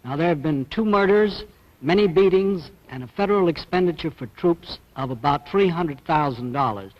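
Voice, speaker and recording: A man putting formal questions as a broadcast interviewer, in a measured and pointed manner. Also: fairly high pitch, animated, no echo. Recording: dull archival broadcast sound.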